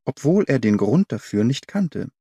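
A man voicing an earnest young governess in an audiobook, telling her account in a measured, articulate way. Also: medium pitch, sing-song, dark tone, slightly rough, no echo, clean studio sound. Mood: earnest, grateful. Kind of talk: scripted audiobook character reading.

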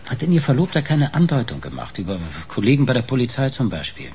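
An actor playing a sardonic, dogged investigator with a teasing, insistent manner in a German radio crime play. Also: male voice, medium pitch, huge pitch swings, fast, darkish tone, slight background noise. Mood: insistent, probing. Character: sardonic, dogged male investigator pressing for answers.

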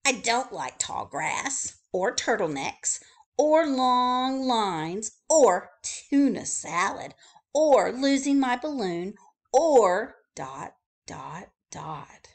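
A woman doing a tiny chatterbox bird for a storybook, her voice pitched far up and bouncing wildly as she gushes through list after list. She is breathless with delight and loud with excitement, a bright little female bird who never pauses. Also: heavily acted. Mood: gushing, excited. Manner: excited, gushing, rattles off lists.

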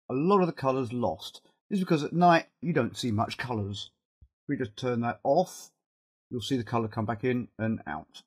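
A middle-aged man with a cold and a fading, husky voice, talking haltingly through an informal step-by-step software tutorial and thinking aloud as he works. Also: medium pitch. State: has a cold, losing his voice. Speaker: video editing tutorial presenter.

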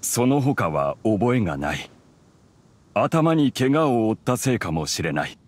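A man playing a gentle, solemn father and hunter, speaking gravely and tenderly in anime-style game dialogue. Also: mid-range voice, big pitch swings, unhurried.

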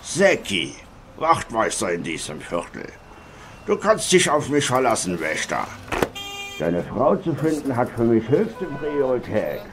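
Slow, swooping, sly ork policeman played by a man. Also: mid-range voice, sing-song, background noise. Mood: reassuring. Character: smooth, sly male ork police sergeant, falsely reassuring. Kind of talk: German fan audio drama acting.

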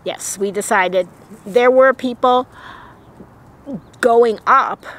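A woman's voice: a little high, a bit bright. A middle-aged woman co-hosting a travel vlog, chatting casually outdoors and agreeing readily with her partner. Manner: agreeable, chatty, quick affirmations. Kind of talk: casual chat. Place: outdoors beside an RV on a sunny day.

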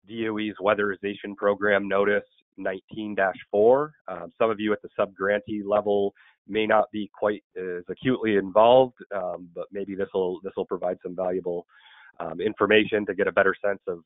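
Raspy, hoarse low-voiced man on a call. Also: no echo. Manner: halting, many ums and restarts. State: hoarse from a sore throat. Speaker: state weatherization program staff, webinar presenter. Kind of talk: webinar presentation. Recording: tinny phone-like webinar audio, dry.

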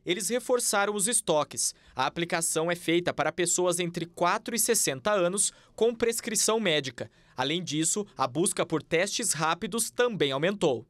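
A man's voice: sits high, expressive, moderate pace, few pauses, fairly bright tone, no echo. A young man, narrating a scripted TV news report.

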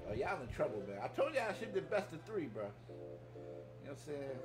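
Male American game streamer, 30s. Highish, swooping delivery, hyped and slangy, talking over clear music. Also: very animated, fast. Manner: hype, slangy trash talk. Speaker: streamer and gaming host. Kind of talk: excited gameplay commentary and banter. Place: indoor streaming setup. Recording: music playing behind the voice.

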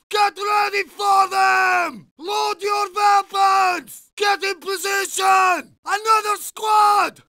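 A young Russian soldier in a war game, shouting at a very high, strained pitch. His voice is heavily gravelly and his accent Russian. He delivers urgent, earnest battlefield calls to his comrades in short bursts. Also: dry recording, heavily acted. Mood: alarmed, urgent. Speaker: voice actor. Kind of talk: video game battle barks.

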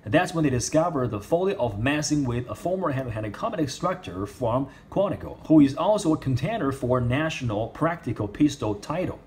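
A man's voice: mid-range voice, animated, brisk, hardly any pauses, darkish tone, a little hiss or hum. A man reviewing an action figure in casual speech, with simple, non-native English phrasing. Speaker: toy reviewer.

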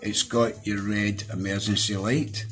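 A middle-aged man with a Scottish accent talks through a hands-on review in a casual, down-to-earth way. His voice sits on the low side. Soft music plays faintly behind him. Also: little pitch movement, a little slow. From Scotland. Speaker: product reviewer. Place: small indoor room at a desk.

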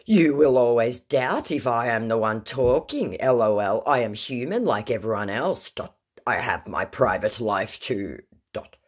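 Pleading male scammer reading his own typed messages, very deep husky voice, voiced by a woman. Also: animated, unhurried, full character voice. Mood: earnest.

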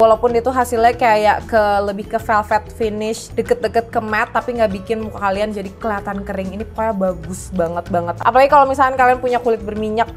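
A young woman with a stuffy, blocked nose from a heavy cold, chatting casually through a makeup tutorial at home, with offhand self-deprecating asides. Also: mid-range voice. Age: young adult. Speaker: beauty vlogger. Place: small indoor room at home, rain outside.

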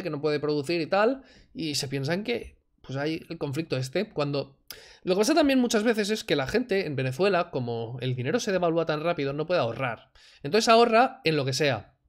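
A man, commenting sarcastically on a video clip his home desk. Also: fairly high pitch. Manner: sarcastic, animated, mocking. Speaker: reaction streamer. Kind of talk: casual commentary over a clip. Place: home room, indoors. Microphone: desk mic, wearing headphones.

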